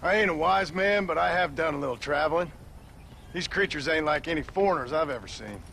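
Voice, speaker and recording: A man's voice: fairly high pitch, a little fast, gravelly, sharp tone. A man voicing a frontier gunslinger who speaks with a dry, wry drawl. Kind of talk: video game cutscene acting.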